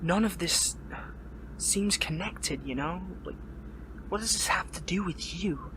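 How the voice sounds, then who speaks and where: A wounded young hero held captive , acted by a man in an audio drama. His voice sits a little high for a male, strained and weary, with sardonic defiance and halting, stammered phrasing. Clear noise runs behind the recording. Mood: confused, exasperated. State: pained, weary. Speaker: voice actor in audio roleplay. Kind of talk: dramatic audio roleplay, one-sided dialogue.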